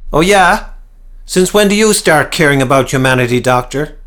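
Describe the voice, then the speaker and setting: A man's voice: a little high, normal speed, voice pushed up, natural voice. A man doing a heated, brash husband. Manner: loud, heated, pleading. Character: brash, rattled young husband.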